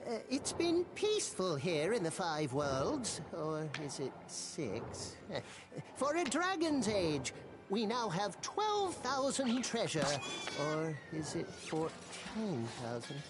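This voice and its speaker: A performer voicing a hesitant dragon villager who keeps second-guessing themself in a video game cutscene, heard from afar. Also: female voice, fairly low pitch, wildly swooping pitch, takes it slowly, music in the background, exaggerated voice. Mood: unsure. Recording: distant game audio with noise.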